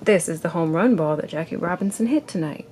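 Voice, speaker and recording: A woman's voice: fairly low pitch, lively intonation, brisk, dark tone, slight background noise, natural voice. A woman voicing an eager, hopeful young boy baseball fan from a storybook, exclaiming with emphasis. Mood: excited.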